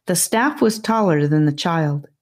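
Female audiobook narrator, low register, slow and measured reading of children's fantasy. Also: animated. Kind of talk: scripted fantasy story narration.

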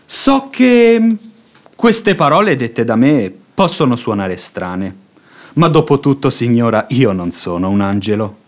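A man's voice: mid-range voice, sing-song, unhurried. An actor playing a fawning, impassioned religious hypocrite in a radio drama, declaiming his pleas in florid, unctuous phrases. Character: pious hypocrite seducer, fawning, impassioned, silver-tongued man. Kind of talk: theatrical radio drama acting.

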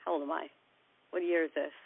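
A woman's voice: deep voice, steady tone, fast talker, vocal fry, husky, no echo. A woman in her forties with an American accent, casually introducing herself in an interview. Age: 40s. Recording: tinny phone-like line.

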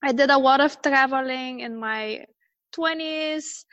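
A woman's voice: high voice, unhurried, a bit bright, no echo, highs cut off. A woman in her thirties, from Bulgaria, talking as a guest in a casual remote interview, hesitant with many ums and laughs. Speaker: guest, orthodontist and travel blogger.

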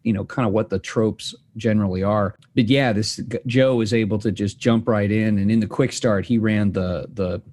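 A man hosts a podcast in easy, casual chat, his voice on the low side. He rambles, stopping to restart his sentences and dropping in fillers along the way. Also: fairly even intonation, moderate pace, few pauses, darkish tone. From United States. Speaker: podcast host.

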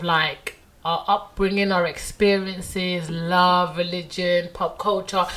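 A woman's voice: fairly low pitch, a bit bright. A woman, hosting a casual podcast chat, chatty and rambling with fillers and frequent laughs. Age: 20s. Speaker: podcast host.

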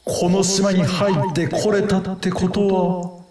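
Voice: mid-range voice, level tone, takes it slowly, mellow, roomy sound, distant mic, close to an everyday voice. An actor voicing a pompous, helpful elderly owl in an audio drama, talking in an old-fashioned way with hooting verbal tics. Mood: pondering. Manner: old-fashioned, ponderous, theatrical.

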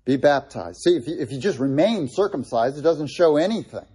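A man's voice: fairly high pitch, expressive, a little fast, dark tone, slightly rough. A middle-aged man preaching a sermon in a conversational way, with frequent restarts and asides to the congregation. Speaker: pastor.

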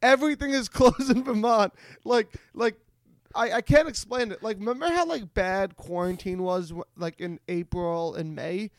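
High-voiced excitable male podcast host. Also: moderate pace, no room sound, clean studio sound. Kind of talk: comedic podcast banter. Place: remote video call.

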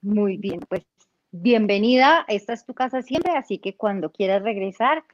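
A woman's voice: medium pitch, moderate pace, no echo. A woman hosting an interview, asking long, wandering, encouraging questions. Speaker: interview host. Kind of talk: casual interview conversation. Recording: slightly dull sound.

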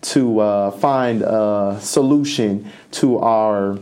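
College guy podcast host, voice kinda strained, mellow dark tone, lots of ums and restarts. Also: fairly low pitch, drawn-out words. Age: young adult. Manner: rambling with fillers and restarts. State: slightly strained voice. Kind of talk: casual chat.

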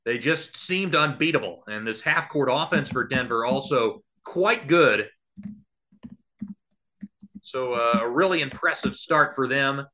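A man's voice: mid-range voice, a little slow, sharp tone. A man calling live basketball as a radio play-by-play commentator, describing the action in short running phrases and adding analysis. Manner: present-tense running call in short clipped phrases.

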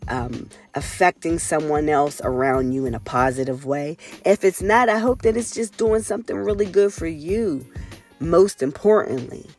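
A woman hosting her own podcast in a candid, casual monologue, her voice raspy and hoarse from a cold. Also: low voice, darkish tone. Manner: candid, earnest, swears casually. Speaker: podcast host.

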